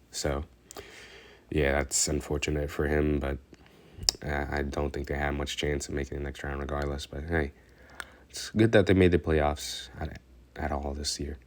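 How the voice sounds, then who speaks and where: A man's voice: a bass voice, level tone, unhurried. A man giving a casual, rambling recap of a stock car race, stuffed up and hesitant, full of fillers and restarts. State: congested, stuffed up. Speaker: racing commentator.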